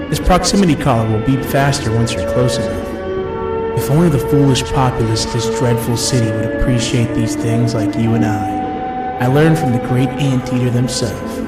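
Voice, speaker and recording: A man's voice: mid-range voice, echoey room, natural voice. A man playing a haughty, cultured video game creature, speaking in a pompous, theatrical and formal way. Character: cultured, haughty creature who scorns the city's foolish populace. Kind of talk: video game character acting.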